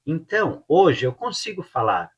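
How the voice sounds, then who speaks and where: A man's voice: on the high side, sing-song, breathy edge, dull audio. A Brazilian man with a Brazilian Portuguese accent who teaches Portuguese, giving a pronunciation lesson and saying each drill word several times over. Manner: slow, drills words by repeating them.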